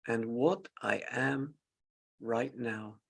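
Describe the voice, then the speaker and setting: A man leads a guided meditation at a very slow pace. His voice is heavily gravelled with fry. He gives gentle instructions in short, halting phrases, leaving long pauses between them. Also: mid-range voice. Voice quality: gravelly, husky. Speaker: meditation teacher.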